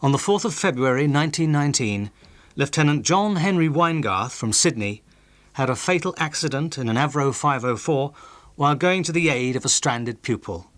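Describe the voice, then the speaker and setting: A man delivers scripted narration for a historical documentary. His mid-pitched voice is recorded dry, with very little room around it. He presents the material as the programme's narrator. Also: lively intonation, moderate pace, fairly bright tone, no echo.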